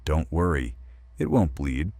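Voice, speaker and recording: A man playing a droll, macabre late-night radio horror host, theatrical and sardonic with deadpan humour. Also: very deep voice, measured pace, vocal fry, dry recording, full character voice. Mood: wry. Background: faint music.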